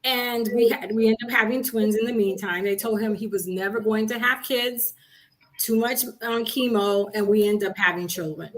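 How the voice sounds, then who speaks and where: A woman from Haiti, raised in Miami, telling her story as a guest, earnest and steady. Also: mid-range voice, moderate pace, poor audio. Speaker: guest, coach. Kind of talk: casual interview.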